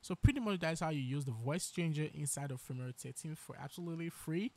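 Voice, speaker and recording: A man hosting a software tutorial, explaining each step as he narrates. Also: a little high, quick pace. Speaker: tutorial host.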